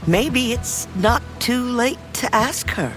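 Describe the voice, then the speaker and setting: A woman's voice: fairly high pitch, animated, slow pace, music in the background. An actress playing a feisty older woman, an adventurous leader in a video game, brash and wry. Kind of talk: comic video game cutscene acting.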